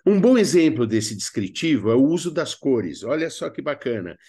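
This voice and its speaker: Animated Brazilian man teaching. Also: medium pitch, normal speed, breathy edge. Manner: didactic, expressive, colloquial asides. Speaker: drama teacher presenting a video lesson. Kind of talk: instructional narration with acted examples.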